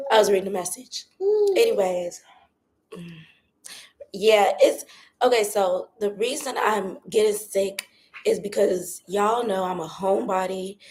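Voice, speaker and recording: A young woman chats casually on a live stream, her voice raspy and hoarse from a cold. She keeps it soft and doesn't push for volume. She is recording on her phone outdoors by her patio. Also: medium pitch. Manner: talks softly, holding back her voice. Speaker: vlogger doing a live stream. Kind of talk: casual chatty live update.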